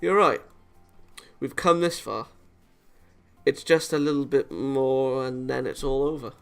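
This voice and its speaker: A man's voice: medium pitch, a little slow, vocal fry, a bit bright, clear, resonant tone, soft background music. A man voicing an anxious, reluctant young male hero of a visual novel, earnest and self-doubting. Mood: resolved.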